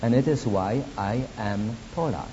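A man from Poland speaking English with a Polish accent as a guest preacher, giving a sermon with personal testimony in deliberate, halting non-native phrasing and wry asides. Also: on the low side. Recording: dull lo-fi recording with faint hiss.

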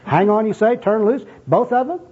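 A man's voice: on the high side, expressive, a little fast, breathy edge. A man voicing a dejected, bleary skid row bum in a comic skit, talking in puzzled, slangy street talk. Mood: baffled, wry. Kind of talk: comic skit acted out in a sermon. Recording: dull, muffled lo-fi recording.

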